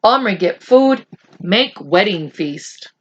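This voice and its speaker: A woman's voice: medium pitch, expressive, slow pace, lo-fi sound. A woman voicing a tiny, proud male Native chief who speaks in clipped broken English with short blunt phrases, in a storybook reading. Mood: eager, demanding.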